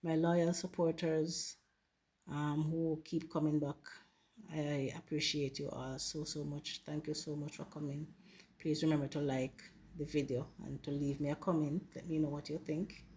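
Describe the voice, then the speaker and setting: A Jamaican woman hosting her gardening channel, chatting casually with viewers while planting, hoarse from a flu and often calling them guys. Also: low voice, level tone, normal speed, slight background noise. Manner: warm, grateful, addresses viewers as guys. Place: home garden.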